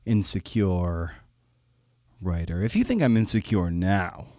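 A man's voice: deep voice, animated, a little slow, dark tone, no room sound, studio quality. A man in his forties hosting a conversational interview podcast, rambling and self-deprecating in his storytelling. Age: 40s. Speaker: podcast host and writer. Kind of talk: conversational interview with personal anecdote.

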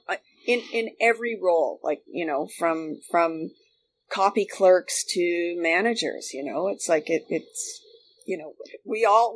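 Middle-aged woman, halting casual talk. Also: on the low side, poor audio. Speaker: former radio worker, podcast guest. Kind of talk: casual unscripted conversation.

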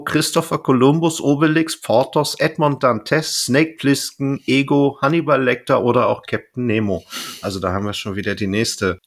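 A man chatting casually as a podcast co-host. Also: lowish voice.

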